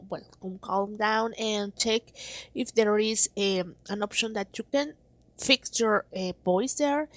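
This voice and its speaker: A woman presenting a casual how-to screen tutorial in a slightly halting, improvised way, recorded as a close voice-over. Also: fairly high pitch, no room sound.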